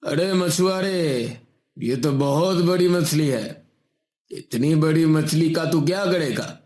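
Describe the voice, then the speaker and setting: A man acts out a greedy, domineering wrestler from a cartoon moral tale. He speaks slowly, pitched a little high for a man. The voice rings with a roomy echo. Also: a little mellow. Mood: mocking, domineering. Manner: slow, taunting, domineering. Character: greedy bullying wrestler, mean to a poor fisherman. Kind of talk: cartoon moral story voice acting.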